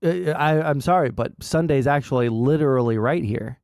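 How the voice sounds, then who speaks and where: A man's voice: medium pitch, vocal fry, darkish tone, clear, resonant tone, no room sound, studio quality. A man hosting a casual gaming livestream, rambling through his points in a blunt, sweary way and often checking in with "right?". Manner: rambling, halting explanations peppered with "right?". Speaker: livestream host.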